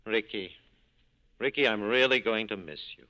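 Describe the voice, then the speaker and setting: Radio drama casting: suave, crooked police chief, crisp and bright in tone, wryly amused, middle-aged. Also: male voice, on the low side, normal speed, poor audio. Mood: wryly fond. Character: sly, urbane, corrupt male police official, wryly amused. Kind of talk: 1940s radio drama acting.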